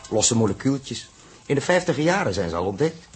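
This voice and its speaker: An actor playing a composed, practical spaceship captain in a 1960s radio drama, with a husky voice. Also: male voice, mid-range voice, very animated, fairly quick. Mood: calm, explaining. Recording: 1960s radio play recording.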